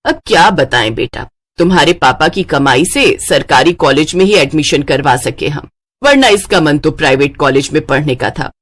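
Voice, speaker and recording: A woman acts out a middle-aged cartoon stepmother with a lowered voice. She is scheming and self-pitying, grumbling and wheedling her way through family life. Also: expressive, moderate pace. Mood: complaining. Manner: wheedling, complaining. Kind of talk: Hindi cartoon moral story acting.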